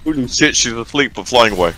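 A man's voice: medium pitch, animated, quick pace, bright, forceful tone, soft background music, low-quality recording. A man chatting casually while gaming, teasing and swearing as he goes. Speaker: gamer in group voice chat. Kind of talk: casual joking game chat.

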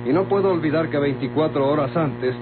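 An actor with a Mexican accent playing a calm, suspicious lawman, formal and pointed, in a vintage radio drama. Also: a little high, brisk, lightly acted. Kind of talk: Mexican golden-age radio drama acting. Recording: muffled vintage radio, loud hum and noise.

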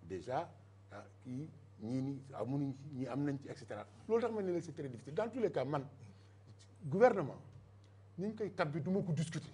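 A man's voice: fairly high pitch, big pitch swings, fairly quick, mellow, breathy edge. An older Senegalese man speaking emphatically as a guest in a televised political debate, on a lapel mic in a studio. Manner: emphatic, mixing Wolof and French. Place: TV studio set.

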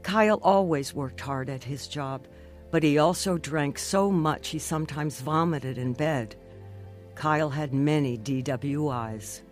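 A woman's voice: low voice, unhurried, a little mellow, soft background music. An actress playing an earnest, devout woman who tells her own emotional life story in a radio drama. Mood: earnest, reflective. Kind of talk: first-person narration in a radio drama.

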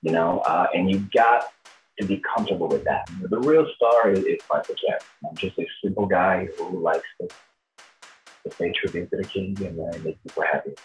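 A man's voice: low voice, normal speed, soft background music, low-quality recording. A man in his thirties from Brazil with a Brazilian accent, giving informal interview answers as a performer. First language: Portuguese. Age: 30s. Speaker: tribute show performer, interviewee.

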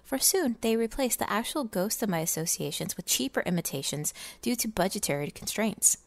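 A miserly, self-satisfied businessman, acted out by a woman tells his holiday tale from a fantasy storybook. The delivery is theatrical and pompous, full of scorn, in a medium-pitched voice with a dry, close sound. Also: expressive, brisk, no echo, natural voice. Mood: scheming, aggrieved. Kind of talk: dramatic reading of fantasy game lore.

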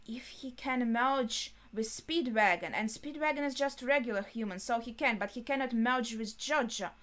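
A young adult woman giving casual reaction commentary, thinking aloud haltingly in short phrases. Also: a little high, sharp tone. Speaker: anime reaction host. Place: small bedroom indoors.

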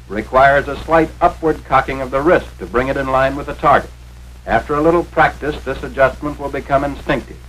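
A man's voice: medium pitch, fairly bright tone. An American man narrating a scripted 1940s instructional film in an authoritative, carefully enunciated way, as if teaching step by step. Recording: noisy 1940s film soundtrack, radio-like.

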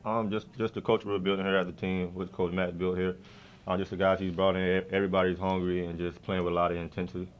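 A young man, a college basketball player, answering press questions after a game in a soft-spoken, filler-heavy way. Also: low voice, little pitch movement, fast talker, lo-fi sound, a little hiss or hum. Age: young adult. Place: press conference room. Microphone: table mics in front of him.

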